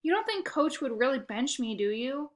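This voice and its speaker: A woman's voice: high-pitched, big pitch swings, moderate pace, voice pushed up. A woman reading a children's storybook, playing an earnest, grumbling schoolboy with a sulky, pleading manner. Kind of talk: children's storybook read-aloud.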